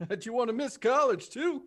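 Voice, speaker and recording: Very high-pitched, excitable uncle. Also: male voice, moderate pace. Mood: gleeful. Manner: stammering, excitable. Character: flustered, excitable old uncle. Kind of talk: 1940s radio acting.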